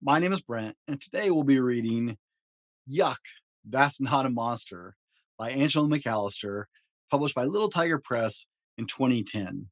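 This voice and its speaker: Animated man reading a children's story. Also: medium pitch, moderate pace, dry recording. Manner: animated, dramatic delivery. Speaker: storyteller reading picture books aloud. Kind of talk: expressive children's story narration.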